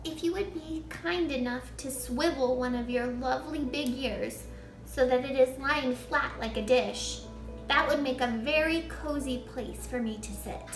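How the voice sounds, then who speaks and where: A woman's voice: sits high, a little slow. A woman voicing a small, polite and plucky young girl in a children's storybook. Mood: polite, eager. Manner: polite and careful, often hushed. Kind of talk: children's storybook character reading. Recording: roomy echo, music behind.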